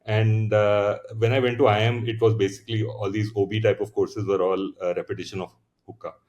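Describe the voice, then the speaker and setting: A man's voice: fairly low pitch, level tone, fairly quick, a little mellow, highs cut off. A man in his thirties with an Indian English accent, a founder telling his story in a casual interview, reflective, with many fillers and restarts. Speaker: founder, podcast guest.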